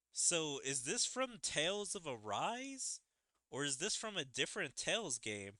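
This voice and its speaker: A man giving casual game commentary as a streamer, musing in halting phrases and speaking into a close microphone. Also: a little high, pushed and bright, dry recording. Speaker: gaming streamer. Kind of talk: casual game commentary, reading dialogue aloud. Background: game music.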